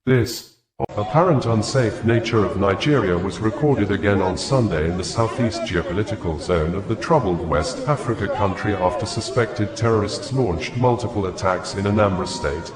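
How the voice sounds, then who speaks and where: Low-voiced male news reader in echoey room. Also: moderate pace, a crowd in the background. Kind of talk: scripted news narration.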